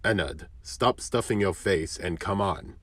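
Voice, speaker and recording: A man's voice: low voice, measured pace, fairly bright tone, voice pushed up. A man voicing an exasperated, dutiful undead eldest brother in a narrated story.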